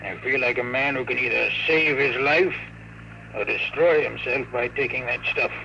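An actor playing an ailing, shrewd old mayor in a vintage radio drama, speaking hoarsely in a weary, confiding way. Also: male voice, normal speed, sharp tone. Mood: grave, confiding. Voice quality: low, hoarse voice. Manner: weary, confiding, throat-clearing. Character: ailing old big-city mayor, desperate but shrewd. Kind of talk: 1940s-50s radio drama acting. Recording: noisy old radio broadcast recording.

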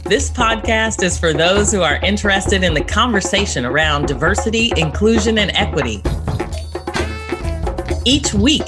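A woman hosting an interview podcast, introducing the show and putting questions to a guest. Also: on the low side. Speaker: podcast host.

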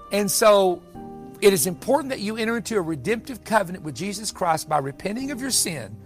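Male preacher, high voice, emphatic, exhorting, repetitive phrasing, evangelical sermon, music underneath. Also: a bit bright. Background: background music.

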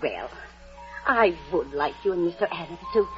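An actress playing a kindly, fussy orphanage matron in a 1940s radio drama, fluttery, genteel and confiding. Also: female voice, lowish voice, lively intonation, normal speed, lightly acted. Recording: muffled vintage 1940s radio broadcast, faint music.

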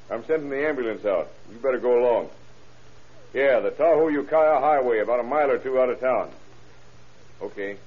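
A man playing a gruff, businesslike small-town undersheriff in an old-time radio crime drama, terse and plain-spoken. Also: mid-range voice, close to an everyday voice. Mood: urgent, brusque. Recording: noisy, tinny old radio broadcast recording.

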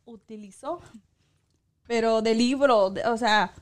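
High-voiced chatty woman. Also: slow. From Chicago. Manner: code-switching, animated, laughs often. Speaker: co-host, school translator. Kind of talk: casual chat.

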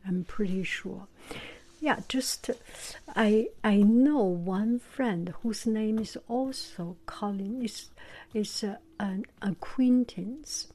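A woman hosting her own channel, talking gently to her viewers in a soft whisper, hesitant and full of pauses. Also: mid-range voice, breathy edge. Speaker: channel host. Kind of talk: whispered vlog talk to viewers.

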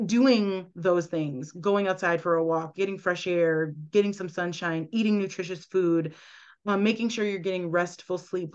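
A woman's voice: lowish voice, clear, resonant tone. Woman explaining on dull lo-fi audio. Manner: earnest, explains at length with frequent "right?" check-ins. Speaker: guest, medical intuitive. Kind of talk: explanatory podcast conversation.

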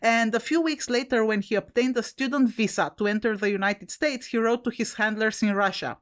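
A woman's voice: a little high, brisk. A woman co-hosting a podcast with an Italian accent, chatting casually, laughing often and swearing now and then. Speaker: podcast co-host.

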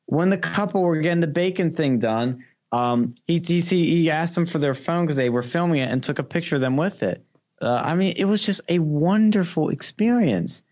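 Young adult male podcast guest, excitable raconteur, foul-mouthed and effusive, slightly muffled low-fidelity recording. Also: moderate pace. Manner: gushing, profane, repeats superlatives. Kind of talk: casual enthusiastic anecdote-telling.

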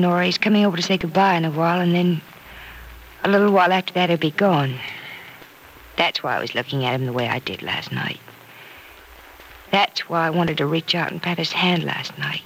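An actress playing a gentle, composed, wistful heroine in an old-time radio drama, speaking in a soft, measured, affectionate way. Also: female voice, low-pitched, vocal fry. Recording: noisy old radio recording.

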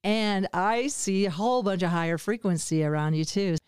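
Woman, 60s, podcast host. Smooth, lowish, supportive, dry close mic. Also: normal speed, a little mellow, no echo. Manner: supportive, finishes the guest's sentences. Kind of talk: casual podcast conversation.

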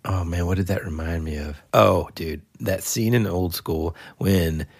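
Low nasal guy, stuffy and a bit husky, chill podcast rambling. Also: a little slow, dry recording, studio quality. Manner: laid-back, rambling, says dude and man. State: congested, recovering from illness. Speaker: podcast co-host. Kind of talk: casual chat.

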